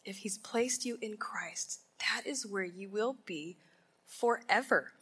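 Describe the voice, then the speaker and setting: A woman delivers a sermon in a conference ballroom, her voice bright and clear. She takes her time, stretching out key phrases with deliberate emphasis. She often pauses to ask her listeners searching rhetorical questions. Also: mid-range voice. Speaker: Bible teacher at a women's conference.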